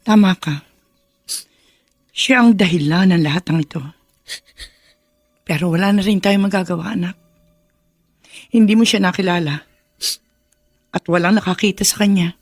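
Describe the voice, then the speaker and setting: A woman's voice: fairly low pitch, normal speed, long pauses, no echo. Sorrowful mother, close dry sound. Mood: resigned, consoling. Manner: soothing, sorrowful. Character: sorrowful, consoling widowed mother of a grown daughter. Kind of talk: melodramatic radio drama acting.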